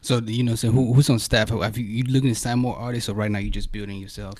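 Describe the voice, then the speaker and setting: A man's voice: on the low side, steady tone, fast talker, darkish tone, no echo. Laid-back man hosting a podcast. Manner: laid-back, lots of "you know what I'm saying". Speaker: podcast host. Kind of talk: casual chat.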